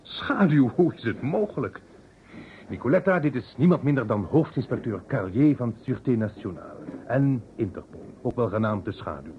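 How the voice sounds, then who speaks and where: An actor playing a jovial, wry globetrotting journalist in a vintage Dutch radio play, chatting away. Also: male voice, mid-range voice, animated, normal speed, lightly acted. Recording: dull, noisy old radio recording.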